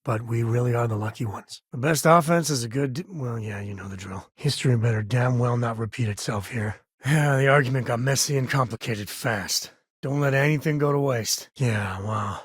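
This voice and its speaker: A husky, dry-recorded game companion played by a male actor, a space-cowboy father with a sardonic streak. He sits at an ordinary male pitch and talks in a casual, folksy, protective way. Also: moderate pace, hardly any pauses, no echo, lightly acted. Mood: wry, easygoing. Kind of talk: scripted game companion dialogue.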